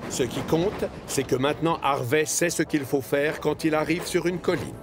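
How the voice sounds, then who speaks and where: A man's voice: fairly high pitch, moderate pace, over music. A man reading scripted narration for a children's cartoon.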